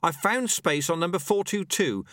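A man's voice: sits high, lively intonation, normal speed, a bit bright, no room sound, clean studio sound, pitched above the performer's own voice. A man voicing an earnest, anxious young male novice in an audiobook, hesitant and eager. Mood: earnest, focused. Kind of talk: audiobook character voice.

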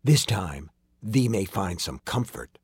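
A man's voice: low-pitched, sing-song, slow, a little mellow, breathy edge, pitched below the performer's own voice, heavily acted. A man voicing a sinister, mockingly courteous sorcerer in an audiobook story, with archaic, teasing speech that drops into whispers. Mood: mocking, sly.

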